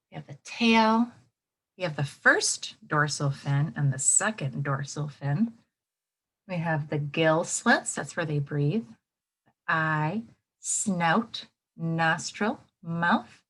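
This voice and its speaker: Woman teacher reading aloud with lively, swooping intonation. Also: medium pitch, slightly rough. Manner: expressive, prompts listeners with questions and asides. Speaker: elementary school teacher. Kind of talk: read-aloud lesson for young children.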